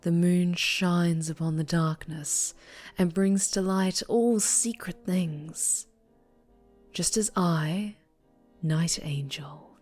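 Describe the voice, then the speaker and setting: A woman playing an obsessive female superhero captor in an ASMR roleplay, speaking in breathy coos and whispers with sweet, possessive menace. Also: lowish voice, slow pace, faint music behind. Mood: tender, possessive. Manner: breathy cooing that slips into whispers and soft menace. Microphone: close microphone.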